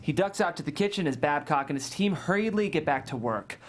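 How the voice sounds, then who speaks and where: A man's voice: on the high side, animated, brisk. A man reading screenplay stage directions as narrator at a scripted table read.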